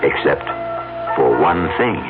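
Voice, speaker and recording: A man's voice: a bass voice, lively intonation, slow pace. A man narrating a 1970s radio drama as host, in a dramatic scripted storytelling style. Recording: tinny old radio broadcast. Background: prominent music.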